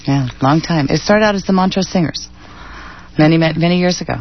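A woman's voice: sits low, fast. A woman talking as a guest on a radio show, friendly and proud, with fillers. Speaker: executive director, guest. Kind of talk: radio interview. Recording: dull radio studio sound with faint noise.

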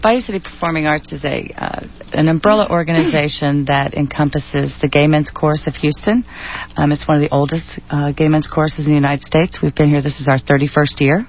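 A woman's voice: sits low, steady tone, fairly quick. A woman talking as a guest on a radio show, friendly and proud, with fillers. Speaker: executive director, guest. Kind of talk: radio interview. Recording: dull radio studio sound with faint noise.

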